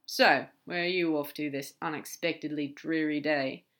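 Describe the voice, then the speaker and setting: A brash, irreverent male demon from an audiobook, as a woman narrator plays him, speaks in a lowish voice. He is blunt and casual, hitting his words with emphasis, protective and fond underneath. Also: fairly even intonation, moderate pace, fairly bright tone. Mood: fond concern. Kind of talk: audiobook character dialogue.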